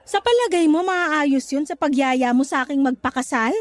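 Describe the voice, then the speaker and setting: A woman's voice: high-pitched, moderate pace, no echo, close to an everyday voice. A Filipino actress playing an irritable, hurt young mother in a Tagalog radio drama, answering in a curt, exasperated way. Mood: firm, exasperated. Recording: background music under the dialogue.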